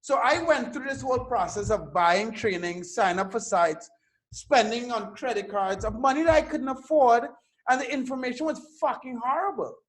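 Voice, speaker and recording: A man's voice: very high-pitched, moderate pace, poor audio. A man in his thirties from Trinidad and Tobago, a guest telling his story casually, swearing and repeating words. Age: 30s. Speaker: guest entrepreneur.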